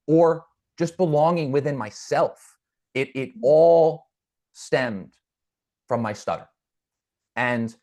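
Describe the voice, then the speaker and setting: A man guest in an interview, speaking earnestly and reflectively, with a stutter that brings repeated words and restarts. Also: mid-range voice. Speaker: podcast guest. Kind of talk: conversational interview.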